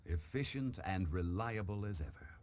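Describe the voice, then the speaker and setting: A calm, formal gentleman, acted by a man for a game cutscene, with a very low voice that still moves expressively. He is polite and scholarly, with clear ambient noise behind him. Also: normal speed, natural voice. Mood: courteous, composed. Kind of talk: video game cutscene acting.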